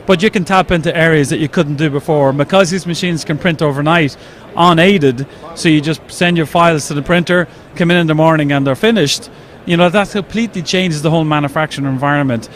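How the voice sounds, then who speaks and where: A man's voice: a little high, quick pace. An Irish man explaining his company's product enthusiastically in an interview at a trade show. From Ireland. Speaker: company representative.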